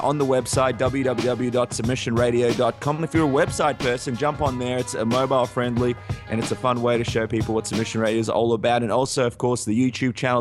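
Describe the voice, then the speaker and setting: A man from Ukraine co-hosts a podcast in a relaxed, chatty way, breaking into laughs between plugs. His voice sits in a middle register. Music plays clearly beneath him. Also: mid-range voice. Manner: chatty, laughs often, promotes channels. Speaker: podcast co-host. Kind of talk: casual chat.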